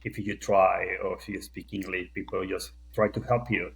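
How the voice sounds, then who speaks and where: A man's voice: deep voice, little pitch movement, normal speed, vocal fry. A man from South America with a Spanish accent, speaking English as a second language, earnest and casual as a podcast guest. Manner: earnest, with non-native phrasing. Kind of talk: casual conversation.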